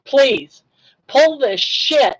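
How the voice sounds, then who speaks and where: A woman's voice: high-pitched, lively intonation, very slow, poor audio. An older woman hosting a casual livestream, telling stories in a chatty way, laughing often and reacting to chat comments. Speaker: livestream host.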